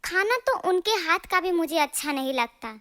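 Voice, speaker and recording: A woman playing a boastful, quarrelsome young daughter-in-law who bickers in an animated family story. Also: very high voice, normal speed, heavily acted. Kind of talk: animated moral story dialogue.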